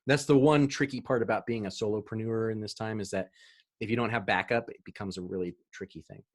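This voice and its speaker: A man's voice: on the low side, fairly even intonation, fast. A man presenting a marketing webinar over a video call, chatty, with fillers and easy laughs.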